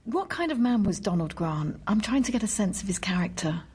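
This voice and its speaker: A woman's voice: mid-range voice, big pitch swings, fairly quick, darkish tone, breathy edge. A woman presenting a history documentary, moving between scripted narration and interview questions.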